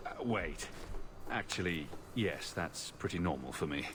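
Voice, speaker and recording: An actor playing a sardonic, self-assured adventurer hero with dry, joking delivery in a video game. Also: male voice, sits low, expressive, unhurried, noisy background. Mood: wry. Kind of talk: video game dialogue acting.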